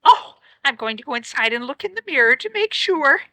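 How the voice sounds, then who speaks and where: Woman playing vain greedy wife, high gushing voice, lively swings, dry sound, storybook tale. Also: brisk, dry recording, full character voice.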